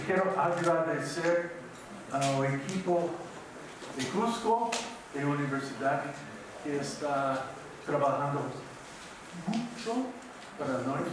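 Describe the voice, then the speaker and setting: Slow, halting older man in an echoey hall. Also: on the high side, big pitch swings, background noise. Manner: slow, halting, mixes in Portuguese words. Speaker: keynote speaker. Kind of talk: conference lecture. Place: large university auditorium. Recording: echoey hall from far off with audience.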